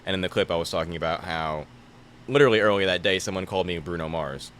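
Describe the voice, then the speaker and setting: Deep-voiced young man, laid-back comedian. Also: fast, a bit bright, slight background noise. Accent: New Jersey. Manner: laid-back, joking, lots of swearing. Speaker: stand-up comedian guest. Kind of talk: casual podcast chat.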